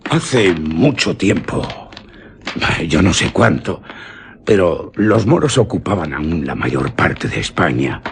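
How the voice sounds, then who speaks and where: A man with an accent from Spain playing a rustic village guide who tells an old cursed legend in a grave, dramatic, deliberate radio drama style. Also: low-pitched, big pitch swings, gravelly, slight background noise, exaggerated voice. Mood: grave, storytelling. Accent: Spanish from Spain.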